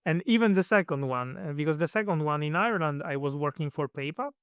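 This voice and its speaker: A man with a clear Italian accent talks through his story in a relaxed interview. His voice sits a little high and sounds smooth and resonant. He often pauses with fillers and restarts his sentences, recorded close with no room sound. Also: no room sound. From Italy. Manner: hesitant, many fillers and restarts. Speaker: guest. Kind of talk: casual interview answers.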